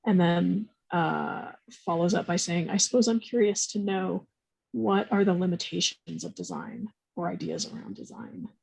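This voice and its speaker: Moderator: woman, gravelly and husky, reads questions in a measured way, video call. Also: fairly low pitch, expressive, darkish tone, rough recording. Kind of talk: moderating a panel, reading audience questions.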